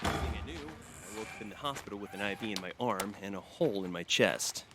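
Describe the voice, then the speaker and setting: Actor as a low-voiced, evasive fugitive man. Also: expressive, a little fast, heavy background noise, lightly acted. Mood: wry, casual. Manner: hesitant, stammering, wry. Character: evasive injured male fugitive agent, wryly friendly. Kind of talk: audio drama acting.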